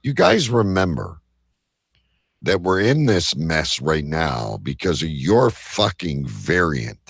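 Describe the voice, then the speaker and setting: A man's voice: extremely low pitch, very animated, slow, vocal fry, slightly rough, no room sound, clean studio sound. A man hosting a radio show with casual, joking, blunt banter and some swearing.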